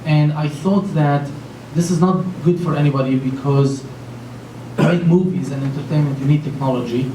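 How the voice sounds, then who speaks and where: A man from Lebanon talks on a panel, earnest and rambling, with lots of fillers and restarts. His voice sits a little high but sounds mellow. He is picked up from some distance in a roomy, echoing hall with a faint hiss behind him. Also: normal speed. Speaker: panelist, company founder. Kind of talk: panel talk. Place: large conference room with an audience. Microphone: handheld mic. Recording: echoey room from far off, faint noise.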